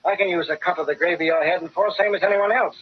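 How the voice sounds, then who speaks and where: An actor playing a shady, grumbling corrupt city inspector with slangy tough talk in a 1940s-style radio drama. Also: male voice, high-pitched, quick pace, close to an everyday voice. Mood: wary, conniving. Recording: muffled, noisy old radio recording.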